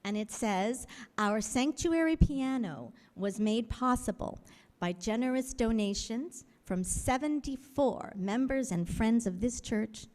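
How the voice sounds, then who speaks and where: A woman making a formal announcement during a worship service, reading aloud at a measured pace. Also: a little high, lively intonation, a little mellow. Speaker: church speaker dedicating a piano. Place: large sanctuary. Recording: long hall reverb.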